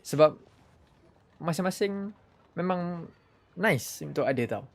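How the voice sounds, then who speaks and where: A man's voice: on the high side, expressive, unhurried, creaky. A man with a Malaysian accent giving casual livestream game commentary, switching between Malay and English. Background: game music.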